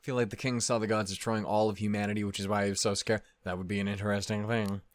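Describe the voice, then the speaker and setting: A man's voice: fairly low pitch, fast, no room sound. Casual, sweary man in a dry-sounding room. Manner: animated asides with frequent swearing. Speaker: reaction video host. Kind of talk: casual off-the-cuff commentary to viewers.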